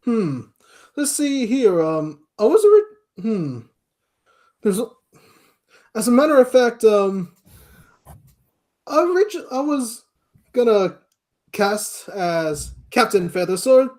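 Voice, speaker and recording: A man speaking as an interview guest in a casual chat, with a halting, hesitant delivery. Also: high-pitched, lively intonation, dark tone. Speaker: interview guest, amateur voice actor.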